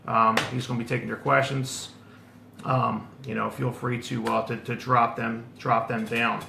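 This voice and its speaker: Male talk-show host, casual and halting, gravelly and bright, roomy echo. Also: mid-range voice, level tone, a little hiss or hum. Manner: repeats words often, lots of fillers. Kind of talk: casual interview.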